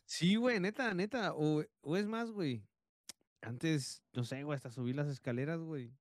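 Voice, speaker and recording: A man's voice: on the high side, normal speed, no echo, clean studio sound. A man in his thirties with a Mexican accent, chatting casually as a podcast co-host, swearing and laughing as he tells stories. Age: 30s. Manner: slangy, swears a lot, laughs often. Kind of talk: casual chat, storytelling.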